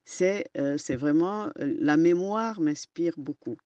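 A woman whose first language is not French speaks slowly and haltingly, often restarting as she searches for words. Her voice is dry and close, with a somewhat dull, lo-fi sound. She is an author and storyteller giving an interview. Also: deep voice, warm tone, no room sound. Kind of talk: interview guest answering questions.

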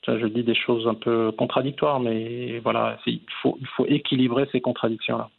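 A man in his forties giving measured, reflective answers as the guest in an interview. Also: mid-range voice, flat delivery, vocal fry, narrow, tinny audio. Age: 40s.

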